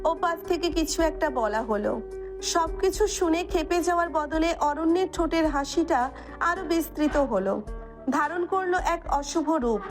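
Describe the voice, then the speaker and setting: Lady narrating audio love story, high pitched, scripted dramatic reading, light music behind.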